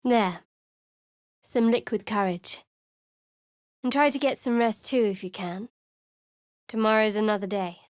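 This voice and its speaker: A woman's voice: medium pitch, measured pace, pauses often, no room sound, close to an everyday voice. A woman voicing a guarded, sardonic young woman cleric in a studio game, speaking in a dry, curt, secretive way. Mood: wry. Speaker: game character.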